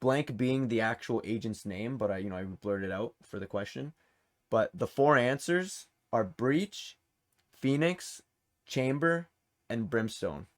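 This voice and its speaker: A man's voice: mid-range voice, unhurried. A man hosting a quiz in a casual, informal and encouraging way. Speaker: quiz host. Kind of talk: casual game-show hosting.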